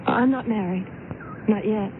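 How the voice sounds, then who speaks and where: A woman's voice: medium pitch, unhurried, close to an everyday voice. An actress playing a sweet, innocent, slightly otherworldly young woman in a radio drama, demure and naive. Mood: wistful. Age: young adult. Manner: demure, naive, brief replies. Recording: noisy, narrow-band old radio broadcast recording.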